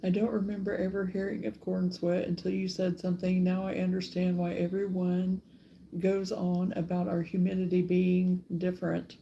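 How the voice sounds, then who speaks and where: A woman's voice: lowish voice, completely flat delivery, gravelly, warm tone, highs cut off, slight background noise. A middle-aged woman hosting a casual live stream from her rural home, chatting and drawing out her greetings to viewers. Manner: chatty, drawn-out greetings to commenters. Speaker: host of a live crochet stream.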